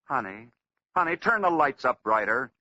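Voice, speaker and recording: A man's voice: mid-range voice, big pitch swings, normal speed, bright, forceful tone, dry recording. A man voicing an earnest, desperate father, a prizefighter going blind, in 1940s radio storytelling. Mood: urgent, demanding. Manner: earnest, pleading. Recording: dull lo-fi 1940s radio recording.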